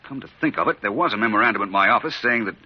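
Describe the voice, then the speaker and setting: An actor playing a suave, witty amateur detective in 1940s radio drama style, urbane and precise. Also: male voice, on the low side, lively intonation, racing, husky. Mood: dryly amused. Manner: urbane, precise, coolly reasoning. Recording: dull vintage radio recording.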